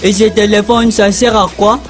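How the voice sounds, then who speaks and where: A man's voice: high-pitched, level tone, moderate pace, close to an everyday voice. A man voicing a naive abandoned street boy and petty thief in a cartoon. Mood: curious, naive. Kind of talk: French-language cartoon dialogue. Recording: loud music behind the voice. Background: music.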